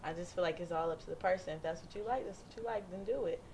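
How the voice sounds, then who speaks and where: Female, about twenty, lower-pitched informal panel guest with faint room noise. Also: very fast, a little mellow. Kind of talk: casual chat.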